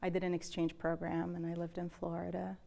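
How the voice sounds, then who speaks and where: A woman's voice: lowish voice, completely flat delivery, brisk, gravelly, warm tone. A woman in her thirties from Brazil, a guest in a studio interview, telling stories in a chatty, easy way on a lapel mic. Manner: chatty storyteller, laughs often. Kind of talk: casual interview chat. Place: dark television studio.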